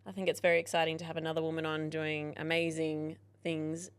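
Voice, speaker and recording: A woman co-hosts with a low voice, among the deepest of women's voices. She speaks plainly and agreeably, introducing the guest and chiming in with short yeses. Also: flat delivery, brisk, clear, resonant tone, no room sound. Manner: agreeable, brief affirmations. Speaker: podcast co-host. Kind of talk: casual interview chat.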